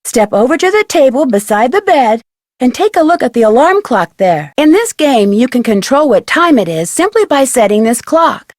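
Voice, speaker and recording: A young amateur detective heroine from an adventure game. Her voice is on the high side and very animated, with a self-assured, inquisitive, conversational delivery. The sound is dry and studio-close. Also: normal speed, dry recording. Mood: upbeat, instructive. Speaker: game protagonist and tutorial guide. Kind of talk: scripted adventure game dialogue and narration.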